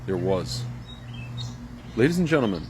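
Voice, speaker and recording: A low-voiced man from Ukraine talks straight to his viewers, circling his point with fillers and restarts. His voice sits deep, and a faint noise hums behind him. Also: big pitch swings, normal speed, dark tone. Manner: rambling, addresses viewers directly, many fillers and restarts. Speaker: vlogger. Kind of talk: casual opinion monologue to camera.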